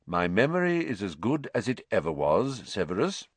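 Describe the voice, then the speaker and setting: Dumbledore, a man reading as a calm old headmaster. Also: medium pitch, dry recording, voice pushed up. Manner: calm, measured, quiet. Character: calm, wise elderly male headmaster.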